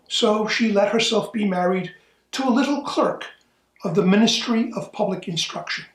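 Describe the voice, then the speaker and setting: A man reading a classic short story aloud as a volunteer reader. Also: sits high. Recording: echoey room, distant from the microphone.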